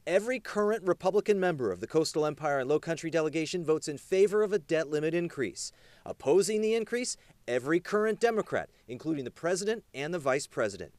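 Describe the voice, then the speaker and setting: News reporter, male: emphatic, dramatic pauses, swooping pitch, dry close recording. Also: expressive, darkish tone, no echo. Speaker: television news reporter and anchor. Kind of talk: scripted TV news narration.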